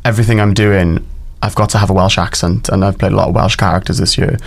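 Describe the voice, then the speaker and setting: A man's voice: deep voice, steady tone, quick pace, vocal fry. A man, an actor, talking about his work as a guest in a casual radio interview, thoughtful and modest with some hesitant restarts.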